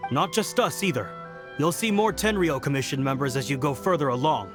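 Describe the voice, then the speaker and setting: Man playing a higher-voiced, courteous dutiful guard. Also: brisk, over music, natural voice. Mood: formal, reassuring. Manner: formal, polite, reassuring. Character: courteous, dutiful male guard on road duty. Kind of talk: video game voice acting.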